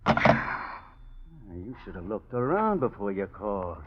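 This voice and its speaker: Casting: tough slangy hoodlum, threatening, animated pitch, vintage radio thriller with dulled sound. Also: male voice, slow pace, breathy edge, full character voice. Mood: cold menace. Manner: tough slangy talk, clipped commands. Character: menacing male gangster threatening his ex-fiancee. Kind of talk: 1940s radio crime drama acting. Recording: dull, lo-fi 1940s radio recording.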